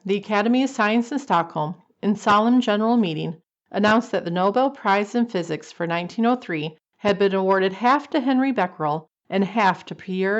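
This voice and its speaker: A woman's voice: mid-range voice, close to an everyday voice. A woman reading scripted dramatic narration as the narrator of an old time radio show. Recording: dull lo-fi sound.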